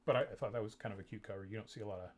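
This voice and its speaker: A man chatting casually and ironically about books he picked up, deadpan and joking, with many pauses and restarts. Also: on the low side. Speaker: vlogger. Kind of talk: casual ironic haul chat.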